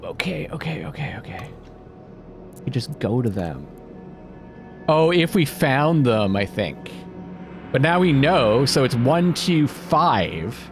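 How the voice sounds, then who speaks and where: Male gaming host, mid-pitched, very lively delivery, thinks aloud and mutters, casual, game music underneath. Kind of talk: casual let's-play commentary with reading aloud. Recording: music behind the voice.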